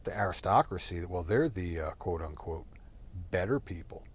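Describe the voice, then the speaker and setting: A man's voice: deep voice, lively intonation, moderate pace, husky. A man from Texas talking in a rambling, profane monologue full of fillers and digressions. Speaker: solo talker.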